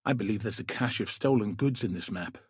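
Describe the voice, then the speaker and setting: An actor playing a gruff, dutiful military commander in a video game, with a husky, rough-edged voice and a grave, dry, clipped delivery. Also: male voice, fairly low pitch, fairly quick, dry recording. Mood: wary, dry. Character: gruff, dutiful male military commander sending a warrior into danger. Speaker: video game character, quest giver. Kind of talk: scripted video game voice acting.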